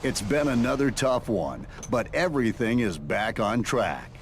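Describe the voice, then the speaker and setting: A man's voice: medium pitch, sing-song, unhurried, slight background noise. A man playing the dramatic narrator of a reality show in a video game, reading scripted narration. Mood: dramatic, steady.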